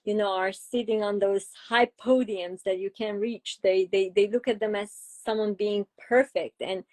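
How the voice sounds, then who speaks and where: A middle-aged woman with a Romanian accent speaks as a guest psychologist in an interview. She explains patiently and builds her points through everyday examples, pausing on fillers as she thinks. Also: medium pitch, steady tone, darkish tone. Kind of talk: interview conversation.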